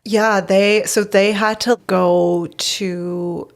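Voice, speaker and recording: Gravelly-voiced woman, chatty and candid. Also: medium pitch, fairly even intonation, very slow. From Bosnia, raised in Canada. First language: Bosnian. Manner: chatty, frequent laughs and "like" fillers, candid. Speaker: podcast host, guest in this episode. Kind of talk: casual personal storytelling.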